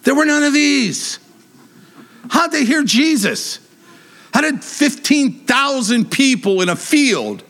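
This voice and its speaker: Preacher, man, high pitch, big voice, animated conversational sermon. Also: slow.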